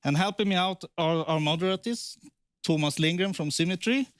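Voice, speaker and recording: A man's voice: sits high, normal speed, smooth, no room sound, studio quality. A man giving a formal welcome as the host of an event. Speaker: company director, event host.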